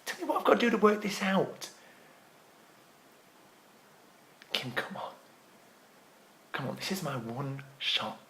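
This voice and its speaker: A man performing a dramatic stage monologue as a desperate, scornful theatre graduate, pleading emphatically and repeating himself haltingly. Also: high-pitched, huge pitch swings, pushed and bright, slight background noise, exaggerated voice. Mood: subdued begging.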